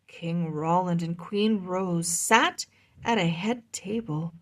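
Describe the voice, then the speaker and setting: A woman reading a children's chapter book aloud as host, in expressive, deliberate scripted narration. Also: lowish voice. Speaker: storybook reader, host.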